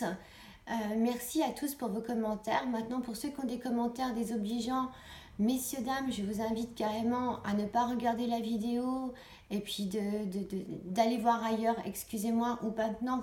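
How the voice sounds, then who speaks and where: A woman's voice: fairly high pitch, steady tone, normal speed, dark tone. A woman gives an informal political address to viewers, earnest and exhorting, repeating phrases for emphasis. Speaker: online video host. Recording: echoey room, clear background noise.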